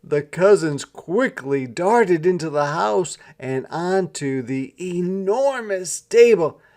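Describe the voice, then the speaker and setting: A man reading a children's story aloud as an expressive storyteller, with deliberate, dramatic phrasing. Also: high-pitched. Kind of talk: expressive scripted storybook narration.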